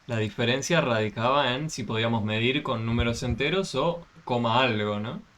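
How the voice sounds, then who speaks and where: A man's voice: mid-range voice, normal speed, a bit bright. Patient man tutoring math. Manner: patient, asks frequent check questions. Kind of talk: online class explanation.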